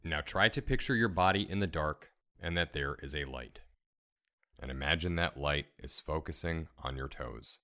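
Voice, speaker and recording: Very deep-voiced man guiding meditation. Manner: calm, soothing, measured, instructional. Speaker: meditation guide, podcast host. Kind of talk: guided relaxation meditation narration.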